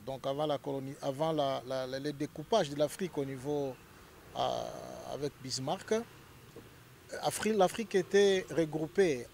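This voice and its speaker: A man's voice: on the high side, darkish tone, a little hiss or hum. A man from Congo, a lawyer, explaining his association in an interview, hesitant with many fillers and growing more emphatic.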